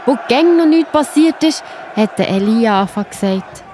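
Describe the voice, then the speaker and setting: A woman's voice: on the high side, lively intonation, moderate pace, mellow, slight background noise. A woman with a Swiss accent narrating a children's Bible story, explaining simply and speaking directly to young listeners.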